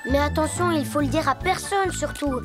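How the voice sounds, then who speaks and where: Woman voicing earnest high-voiced cartoon boy hippo. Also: moderate pace, loud music behind the voice, natural voice. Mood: confiding. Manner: earnest, expressive, childlike. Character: earnest young boy hippo, touchy, imaginative, adventurous. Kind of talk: French children's cartoon dubbing.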